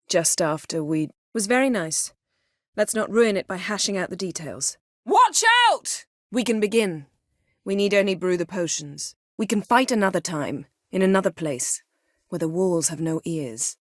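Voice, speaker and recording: An actress playing a proud, sharp-tongued, guarded sorceress in a video game, speaking in a cool, clipped, dryly sardonic way. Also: female voice, mid-range voice, no room sound, studio quality. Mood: stern, guarded, then urgent, forceful. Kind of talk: scripted game dialogue acting.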